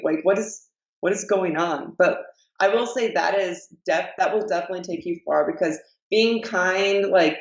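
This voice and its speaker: Upbeat woman in her 20s from Iowa, roomy and slightly dull recording. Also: lowish voice. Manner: upbeat, storytelling. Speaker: guest, fitness coach. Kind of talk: casual chat.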